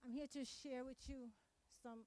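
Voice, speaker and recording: Lady w a high voice, speaking to a community event, emphatic, repeats herself to make a point, dry sound. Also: fairly even intonation, moderate pace, mellow, no echo. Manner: emphatic, repeats phrases for stress. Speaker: community member giving testimony. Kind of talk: impassioned public speech at a memorial event.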